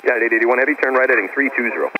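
A man's voice: mid-range voice, very fast. A man working as a tower controller, giving instructions over the radio. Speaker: tower air traffic controller. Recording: dull, faintly noisy tower radio.

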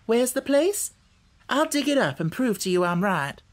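A woman's voice: fairly high pitch, sing-song, moderate pace, voice pushed up. A woman voicing an earnest, outspoken young country girl in a storybook, speaking emphatically. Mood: earnest, insistent. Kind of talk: storybook character voice.

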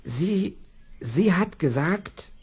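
An actor playing a kindly, simple-minded man in a children's radio play, speaking in halting, broken sentences with mumbled repetitions. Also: sits high, animated, darkish tone, breathy edge, heavily acted. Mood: halting, pleased.

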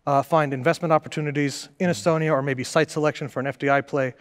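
A man's voice: on the high side, steady tone, in a large hall. A man in his thirties from New York with a New York accent, a trade official answering questions eagerly on a panel. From New York, USA. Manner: fast, eager, with fillers. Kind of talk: panel interview. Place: stage studio on couches.

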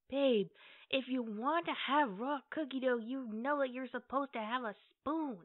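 A woman playing an affectionate, playful girlfriend in a casual, conversational ASMR roleplay, with hesitant pauses. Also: high-pitched, dry recording. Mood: playfully scolding. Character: affectionate, playful girlfriend baking cookies at home. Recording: tinny, phone-like band-limited audio.

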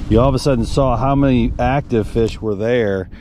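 A man's voice: mid-range voice, normal speed, dark tone, slight background noise. A man talking casually and folksily to viewers as a fishing guide and host, recorded outdoors on a boat with an action camera. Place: outdoors on a small fishing boat on an open, windy lake. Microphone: action camera worn on the body.

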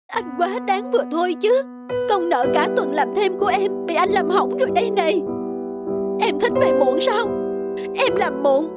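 A cartoon wife with a very high voice. She pleads and scolds her husband with hurt and tenderness. Loud music plays behind her. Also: expressive, normal speed. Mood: upset, reproachful. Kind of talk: Vietnamese cartoon dubbing. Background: loud background music.

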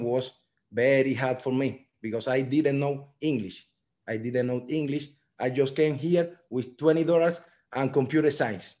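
Young Cuban-accented man, mellow tone, earnest non-native English over a video call. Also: medium pitch. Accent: Cuban Spanish. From Cuba. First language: Spanish. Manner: earnest storytelling in simple learner English. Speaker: software engineer guest. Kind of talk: online panel talk.

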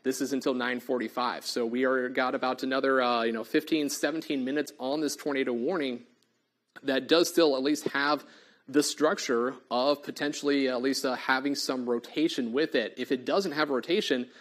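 A man's voice: medium pitch, steady tone, moderate pace, a bit bright. A man, a TV meteorologist losing his voice, giving urgent live storm warnings full of fillers in a studio on a lapel mic. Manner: urgent, repetitive, filler-heavy live delivery. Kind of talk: live severe weather coverage. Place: TV studio in front of a weather wall.

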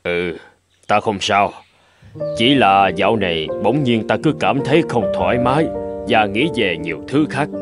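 A man's voice: lowish voice, animated, unhurried, music in the background. A man playing a bored, weary middle-aged king in a children's cartoon, brooding in a regal manner. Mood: weary, discontented. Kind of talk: dubbed children's cartoon acting.

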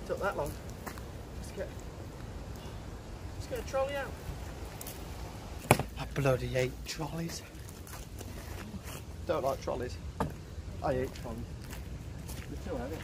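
A man talks casually to viewers as a vlogger. His voice sits a bit high for a man. The recording is outdoors on a camera mic, with heavy noise throughout. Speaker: vlog host. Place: outdoors on a riverbank. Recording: noisy outdoor camera audio.

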